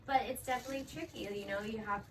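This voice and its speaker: A woman's voice: on the high side, fast talker. A woman, a professional ballet dancer, answering interview questions in a casual, chatty way, full of "like" and "um". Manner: chatty, frequent fillers like "like" and "um". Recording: distant mic with steady background noise.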